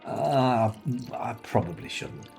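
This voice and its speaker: An actor playing an awkward, amiable man in a radio play, speaking in a halting, tentative way. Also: medium pitch, lively intonation, creaky, darkish tone, faint music behind. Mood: hesitant. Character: hesitant, awkward, amiable male wine-drinking guest. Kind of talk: naturalistic radio play acting.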